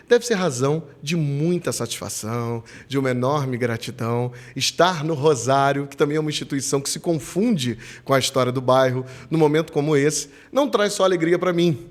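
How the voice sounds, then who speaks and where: A man hosting a school podcast, speaking in an emphatic, lively presenter style. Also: medium pitch. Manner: lively, emphatic presenter delivery with drawn-out openings. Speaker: podcast host.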